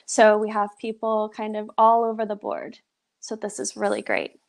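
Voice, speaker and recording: A woman's voice: medium pitch, completely flat delivery, moderate pace, gravelly. A young woman presenting a live pet nutrition webinar over a video call, upbeat and encouraging, with lots of "so" and "awesome". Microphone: webcam on a video call.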